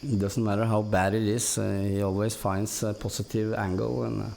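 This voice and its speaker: Gravelly Norwegian man, halting storyteller. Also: fairly low pitch, little pitch movement, darkish tone, slight background noise. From Norway. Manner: halting, hesitant delivery with frequent long hesitations. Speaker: podcast guest. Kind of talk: casual personal storytelling.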